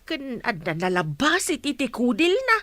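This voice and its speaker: A woman's voice: high-pitched, wildly swooping pitch, a little slow, a bit bright, no room sound, full character voice. A woman with an Ilocano accent playing a concerned, reproachful village mother in a radio drama.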